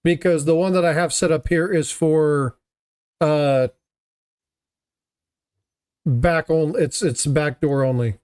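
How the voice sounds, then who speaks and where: A man hosts a relaxed livestream, rambling along with easy self-deprecation. His voice sits a little higher than most men's, and his talk is loose and unscripted. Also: slow pace, pauses often, clean tone. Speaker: livestream host. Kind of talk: casual chat.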